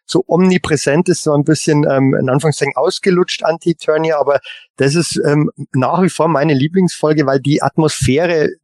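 A man co-hosting a casual fan podcast, rambling and halting but enthusiastic. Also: a little high, fairly even intonation, a little mellow, no echo. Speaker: podcast co-host. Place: remote online call.

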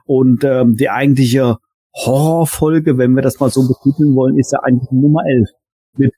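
A man hosting a casual fan podcast, talking in a halting, reflective and wordy way. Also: medium pitch, steady tone, dark tone, slightly rough, no echo. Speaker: podcast host. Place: remote online call.

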